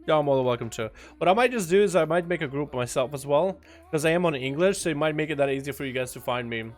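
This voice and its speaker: A man's voice: on the high side, animated, quick pace. A young man from Russia with a slight Russian accent, streaming a game and chatting in a loose, joking way with his viewers. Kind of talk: casual livestream chat.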